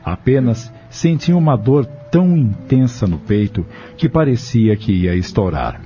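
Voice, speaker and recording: A man's voice: medium pitch, expressive, unhurried, mellow. A man narrating a dramatic audio novel from a script in a solemn, literary manner. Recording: dull lo-fi audio with music behind. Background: music.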